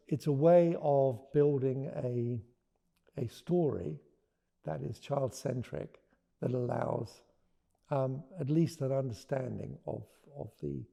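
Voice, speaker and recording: A man speaking as a children's storyteller, with earnest, deliberate phrasing. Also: medium pitch, creaky, warm tone, slightly rough. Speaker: author and storyteller. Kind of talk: expressive picture-book read-aloud and informal explanation.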